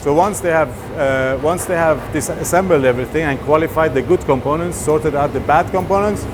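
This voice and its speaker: A man's voice: a little high, a little fast. A man explaining how a facility works, as if guiding a tour. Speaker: facility guide explaining operations. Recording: noisy hiss and machinery background.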